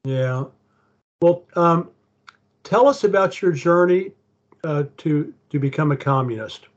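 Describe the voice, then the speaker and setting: A man's voice: on the high side, animated, a little slow. Interviewer man, muffled lo-fi sound. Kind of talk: casual interview talk. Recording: dull lo-fi sound.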